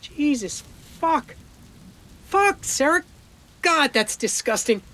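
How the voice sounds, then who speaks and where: A woman playing an urgent, appalled police partner in an audio drama, brisk and forceful as she gives orders. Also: sits high, big pitch swings, exaggerated voice.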